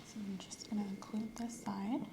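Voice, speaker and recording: Female ASMR examiner, calm and clinical, soft delivery; roomy take with audible background noise. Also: mid-range voice, normal speed, creaky. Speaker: examiner in a medical role-play. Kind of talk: ASMR role-play.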